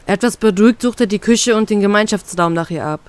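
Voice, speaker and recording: A woman's voice: mid-range voice, fast talker. Woman reading fan fiction aloud. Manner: steady plain read-aloud narration.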